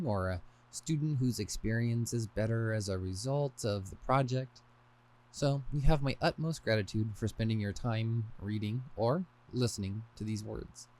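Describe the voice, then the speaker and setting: A man's voice: medium pitch, a little mellow. A man hosting a podcast and reading aloud from a book he wrote, in a scripted style with wry, self-deprecating touches. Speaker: podcast host and author.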